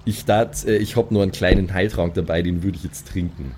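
A man's voice: sits low, fairly even intonation, fairly quick, noisy background. A man improvising casually as a player in a tabletop role-playing game, hesitant and resigned in manner. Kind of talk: casual improvised in-character play.

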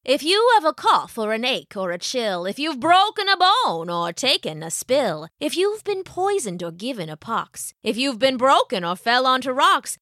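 A woman's voice: sits high, sharp tone, dry recording, clean studio sound, exaggerated voice. Woman putting on a sing-song, showy snake-oil salesman. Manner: theatrical practiced sales patter.

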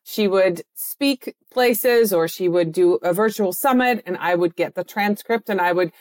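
Middle-aged woman from the netherlands, guest on an interview, lively and excited, mid pitch. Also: a little slow. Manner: animated, enthusiastic, lively storytelling. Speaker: agency owner, guest. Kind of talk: interview conversation.

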